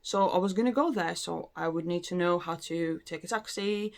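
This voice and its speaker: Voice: medium pitch, moderate pace, creaky. Vlogger, late 30s, lots of ums and restarts, chatty and unscripted. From Latvia. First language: Latvian. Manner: hesitant, many ums and restarts. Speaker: language learning vlogger. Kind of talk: casual talk to camera.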